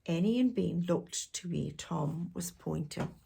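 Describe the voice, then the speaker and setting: A woman's voice: lowish voice, measured pace, gravelly, dark tone, slight background noise. A woman storyteller reading aloud to children expressively, with chatty asides and questions to her listeners.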